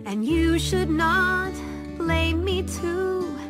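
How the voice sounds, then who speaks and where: A woman voicing a sweet, lovestruck young country mare in a cartoon, tender and gentle. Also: very high-pitched, steady tone, drawn-out words, full character voice. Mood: tender, singing. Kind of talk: cartoon voice acting, tender acoustic love song. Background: prominent music.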